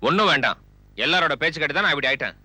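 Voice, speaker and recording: A man's voice: a little high, very fast, bright, forceful tone, dry recording. A man playing an irritable, stressed film producer and husband in a television serial, curt and defensive. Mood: irritated. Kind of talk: Tamil television serial acting.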